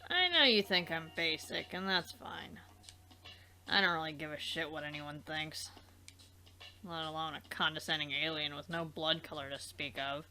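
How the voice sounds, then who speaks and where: A woman's voice: sits low, normal speed, bright, forceful tone, pitched below the performer's own voice. Woman playing a blunt, haughty troll girl. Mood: dismissive. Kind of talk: amateur visual novel dub.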